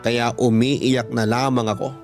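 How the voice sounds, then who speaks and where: A man's voice: medium pitch, flat delivery, unhurried. A man with a Filipino accent narrating a first-person story as a radio drama host. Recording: music bed behind the voice.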